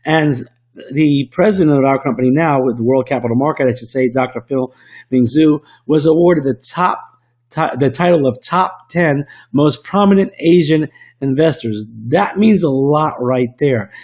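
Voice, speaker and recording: A man with a slightly rough voice from illness, presenting an online webinar into a computer mic in a salesy way with frequent restarts. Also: fairly high pitch, normal speed, a little mellow. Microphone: computer microphone.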